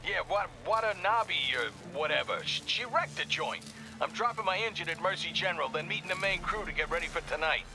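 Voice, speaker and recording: A man's voice: sits high, a little fast, close to an everyday voice. A man voicing a brash, impatient American mob henchman in a video game, blunt, slangy and bossy. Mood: rattled, hurried. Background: music.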